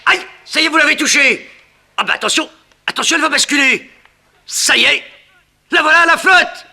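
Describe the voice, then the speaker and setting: An actor playing a sly, vengeful gangster in a 1960s French radio crime serial, with an excitable, sneering, slangy delivery. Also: male voice, extremely high pitch, normal speed, creaky, slight background noise, exaggerated voice. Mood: frantic excitement. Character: gloating, vengeful male gangster, sly villain back from the gallows.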